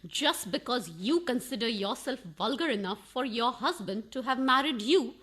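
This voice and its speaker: Woman playing a high-voiced, haughty suspicious wife. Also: animated, normal speed, a bit bright, slightly rough. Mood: haughty, offended. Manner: haughty, sarcastic. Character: suspicious, sharp-tongued, imperious wife with mocking wit. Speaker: actor. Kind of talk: radio farce acting.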